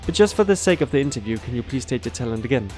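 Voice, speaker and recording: Guy playing a polite official interviewer in an audio drama, medium pitch, encouraging, music going behind him. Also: lively intonation, very fast, darkish tone, close to an everyday voice. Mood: courteous, impressed. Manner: courteous, formal. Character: polite, encouraging formal male board interviewer. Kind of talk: amateur audio drama acting.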